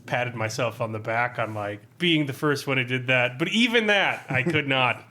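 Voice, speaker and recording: A man's voice: medium pitch, expressive, moderate pace, sharp tone. An American man giving a casual podcast review with a dry, sardonic manner.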